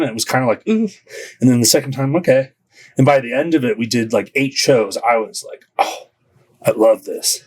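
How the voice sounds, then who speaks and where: A man's voice: medium pitch, husky. A man from Southern California, a podcast guest telling stories in a casual, rambling way, full of filler likes. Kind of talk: casual storytelling.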